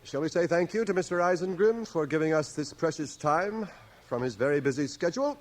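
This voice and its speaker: An actor playing an older schoolmaster and scholar in a radio drama, speaking in a dry, formal, courteous and donnish way. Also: male voice, fairly high pitch, expressive, a little fast, lightly acted. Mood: courteous, brisk. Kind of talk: radio drama acting and narration. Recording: 1980 radio drama, cassette transfer.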